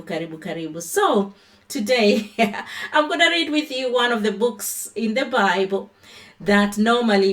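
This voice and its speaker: A Kenyan woman hosting a casual livestream from her phone, greeting viewers by name and moving into a Bible talk. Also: mid-range voice. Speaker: livestream host.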